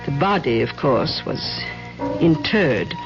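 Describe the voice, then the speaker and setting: A genteel, gossipy teller of ghost legends in a radio drama. Her voice is fairly low and very animated, on a muffled old recording. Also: slow, music in the background. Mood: gossipy, relishing. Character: chatty, genteel guide relishing a ghost legend. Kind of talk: 1970s radio drama acting.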